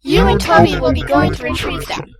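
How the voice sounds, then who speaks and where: A performer voicing a faceless, telepathic monster leader, commanding and patronising, in a breathy, gravelly whisper. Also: a little fast, over a noisy crowd, close to an everyday voice. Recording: loud music over the voice.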